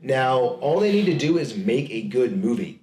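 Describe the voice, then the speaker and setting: A man's voice: mid-range voice, very animated, normal speed, darkish tone, reverberant. A man co-hosting a casual podcast chat, rambling with many restarts and fillers. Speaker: podcast co-host.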